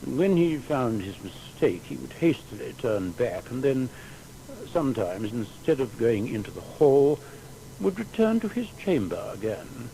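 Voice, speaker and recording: An actor playing a reminiscing seventeenth-century gentleman, telling tales in archaic, expressive English for a radio drama. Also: mid-range voice, measured pace, creaky, a little hiss or hum, low-quality recording. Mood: amused, reminiscing. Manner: discursive, archaic, expressive storytelling. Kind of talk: period radio drama monologue.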